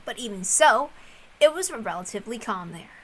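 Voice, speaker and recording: A woman reading a story aloud as narrator, in an expressive storytelling style. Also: on the high side, normal speed. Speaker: reader of a visual novel.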